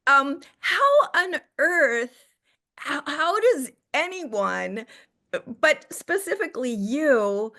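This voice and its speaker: A woman podcast host with a bright, forward voice and a fairly high pitch. She takes her time, speaking slowly and emphatically. Her conversational style is effusive and playful, with the flourishes of a storyteller. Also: animated.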